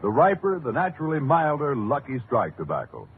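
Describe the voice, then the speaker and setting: A man's voice: mid-range voice, huge pitch swings, a little fast, phone-quality sound. A man delivering emphatic slogans as a commercial announcer in 1940s radio style. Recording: hissy, band-limited vintage radio broadcast.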